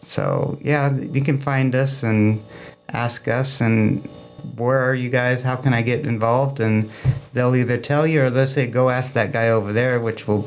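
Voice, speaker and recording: A man's voice: mid-range voice, fairly even intonation, a little slow. A man talking casually as an interview guest for a hobby club, chatty and anecdotal in a rambling way. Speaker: amateur radio club representative, interview guest.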